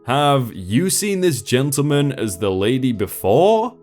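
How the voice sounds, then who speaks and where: A man's voice: mid-range voice, big pitch swings, a little slow, a little mellow, soft background music. A man voicing a formal, polite male store manager.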